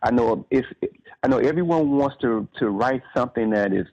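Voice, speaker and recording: A man's voice: mid-range voice, normal speed, darkish tone. A man talking casually as an author in an interview, reflectively explaining things step by step and restating his phrases. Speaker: author in conversation about writing. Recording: slightly dull, lo-fi radio-like sound.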